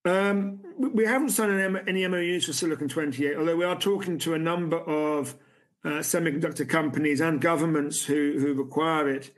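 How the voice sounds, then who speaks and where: A man speaks on a video call, answering investor questions as a company's chief executive. He keeps stopping and restarting his sentences, filling the gaps with "um" and "you know". His voice sits a little high for a man. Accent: non-American. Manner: halting, many restarts and fillers. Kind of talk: conference Q&A. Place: room with a city view at night.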